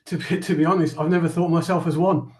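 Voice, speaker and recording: A man's voice: fairly high pitch, fairly even intonation, quick pace. A man answering interview questions as a company founder, modest and hesitant, often repeating words as he thinks. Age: 50s.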